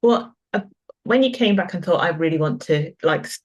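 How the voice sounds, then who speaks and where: A female podcast host in a conversational interview. She talks in a chatty way and circles around her questions before landing them, with a mid-range pitch. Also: expressive, normal speed, highs cut off.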